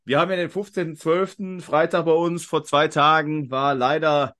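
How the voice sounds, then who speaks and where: A man's voice: on the high side, flat delivery, normal speed, fairly bright tone. A man hosting a quiz podcast in a casual, talkative and rambling way. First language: German.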